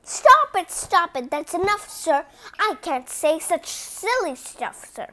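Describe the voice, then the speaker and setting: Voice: very high voice, sing-song, slow pace, sharp tone, pitched above the performer's own voice, heavily acted. A reader voicing an exasperated male furry creature from a storybook, whiny and protesting.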